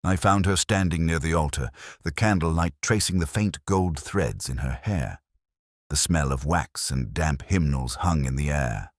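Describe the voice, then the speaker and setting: A man reading a scripted first-person detective story in a measured, reflective, literary manner. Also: a bass voice, no room sound, studio quality. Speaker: narrator.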